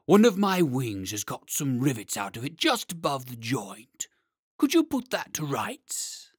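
Man doing a dragon, storybook, spluttering, slow drawl, sly and polite, dry close sound. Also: medium pitch, wildly swooping pitch, husky, no echo, pitched above the performer's own voice, full character voice. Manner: slow and drawn out.

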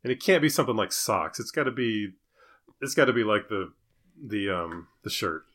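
A man's voice: fairly low pitch, lively intonation, moderate pace, a bit bright. A man co-hosting a podcast, chatting casually and jokingly as he poses playful questions. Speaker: podcast co-host. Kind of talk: casual, joking chat posing would-you-rather questions.

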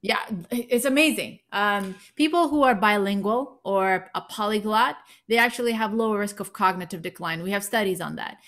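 A woman's voice: medium pitch, moderate pace, hardly any pauses, a bit bright. Enthusiastic woman with a slight Indian accent. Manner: enthusiastic, fluent explainer. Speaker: physician guest. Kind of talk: conversational podcast interview.

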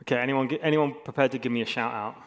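A man's voice: mid-range voice, fairly even intonation, fast. A man preaching an informal, conversational sermon as a pastor, with self-deprecating humour. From England and Hong Kong.

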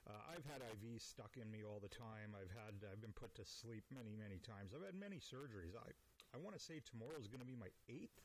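A man's voice: fairly low pitch, expressive, quick pace, slight background noise, rough recording. A man in his forties hosting a podcast, rambling through a casual, profane, self-deprecating monologue. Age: 40s. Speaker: podcast host.

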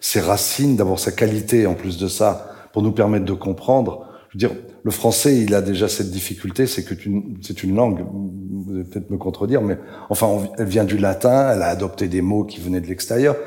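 An older man speaks in an earnest, halting way as a panel guest, often pausing on fillers. His voice sits very low. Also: dark tone. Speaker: author guest. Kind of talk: panel conversation.

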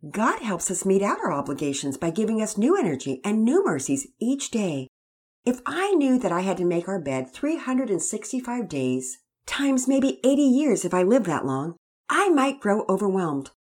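Calm wise mother, read by a woman. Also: mid-range voice, normal speed, husky, voice pushed up. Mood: reassuring. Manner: calm, reassuring.

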